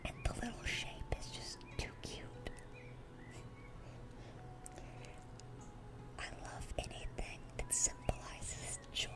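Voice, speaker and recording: A woman playing a dorky, lovestruck young demon in a whispered ASMR roleplay, speaking in a breathy, earnest, flustered way. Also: close to an everyday voice. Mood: tender, soft. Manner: flustered, stammering, gushing, earnest.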